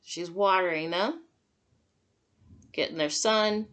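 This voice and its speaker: A woman's voice: lowish voice, slow pace, fairly bright tone. A woman teacher reading a picture book aloud to young children, adding simple, encouraging comments and questions as she goes. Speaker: kindergarten teacher.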